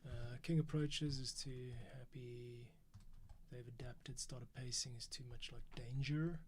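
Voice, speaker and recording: A man's voice: medium pitch, fairly even intonation, a little slow, a crowd in the background. A man casually thinking aloud in fragments as he works as an audio editor. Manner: muttering to self in fragments. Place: at a computer workstation. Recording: faint noise, keyboard and mouse clicks.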